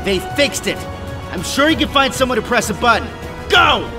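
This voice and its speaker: An actor in a superhero audio drama playing a resolute, clever, defiant former hero, over loud music. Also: male voice, extremely high pitch, sing-song, normal speed. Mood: urgent.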